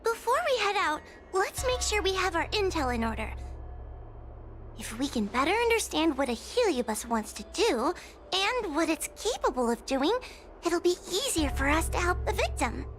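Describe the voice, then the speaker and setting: Very high-pitched, timid little girl. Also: heavily acted. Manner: hesitant, gentle, conscientious. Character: timid, earnest little girl, polite and careful. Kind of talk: video game voice acting.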